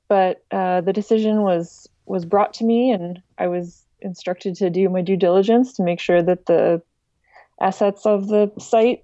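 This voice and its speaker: Lady being interviewed, soft dark tone, careful and a bit hesitant, dullish audio. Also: mid-range voice, no echo, muffled recording. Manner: measured, careful, hesitant explaining. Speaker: podcast guest, music archive director. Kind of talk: casual interview talk.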